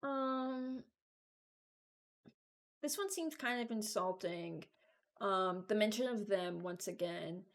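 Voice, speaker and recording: A woman giving informal, halting commentary as a video creator, thinking aloud while she ranks things. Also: mid-range voice. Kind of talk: casual commentary, ranking game voice lines.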